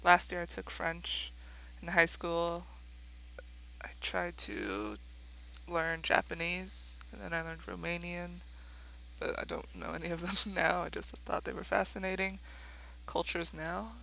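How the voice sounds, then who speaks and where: A woman's voice: lowish voice, expressive, a little slow, gravelly, bright, forceful tone, slight background noise, poor audio. A woman from Mexico, a native Spanish speaker, reading viewer questions as co-host with brief laughing asides.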